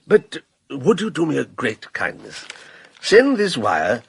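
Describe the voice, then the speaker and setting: An actor playing a persuasive middle-aged banker in a radio drama, also narrating the story. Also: male voice, on the high side, wildly swooping pitch, slow pace, creaky, slightly rough, full character voice. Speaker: narrator and character.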